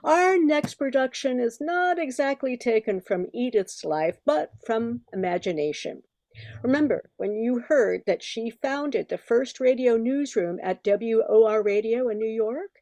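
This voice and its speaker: A woman's voice: fairly high pitch, lively intonation, unhurried, a little mellow, no echo. An older woman reading scripted narration as the announcer of a radio show.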